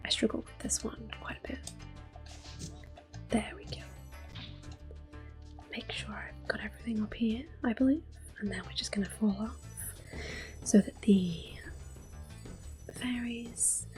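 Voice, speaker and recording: A woman whispering soft, unhurried commentary as she plays through a video game for an ASMR channel. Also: on the high side, soft background music. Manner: soft, unhurried whisper with occasional soft-spoken words. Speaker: ASMR gameplay host.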